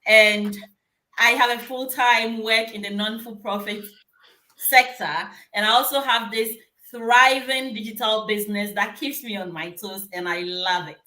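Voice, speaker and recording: A woman's voice: fairly high pitch, steady tone, a little slow, bright, forceful tone. A cheerful woman in a live-stream group chat, laughing often. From Ghana. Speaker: panel guest. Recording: roomy echo.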